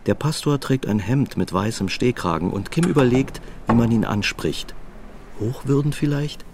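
A man's voice: mid-range voice, moderate pace, vocal fry, darkish tone, a little hiss or hum. A man narrating a scripted radio play as its storyteller.

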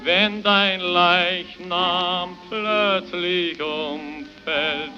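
Male cabaret singer, Berlin accent, high and very slow, over music on a vintage record. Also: fairly even intonation, sharp tone. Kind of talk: 1930s satirical cabaret song. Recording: muffled old record with music.

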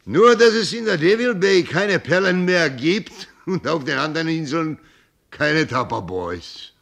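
Gravelly old sea captain. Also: male voice, on the high side, animated, measured pace, fairly bright tone, full character voice. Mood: scheming, persuasive. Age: older. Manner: rambling, folksy storyteller, sprinkles in English words. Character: old sea captain, boisterous, tipsy, sentimental, shrewd. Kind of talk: radio play acting.

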